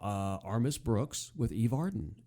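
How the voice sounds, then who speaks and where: An American man hosting a casual chat show, talking in a halting, conversational way and often repeating words. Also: lowish voice, animated, mellow. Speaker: podcast host. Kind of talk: casual chat about old-time radio.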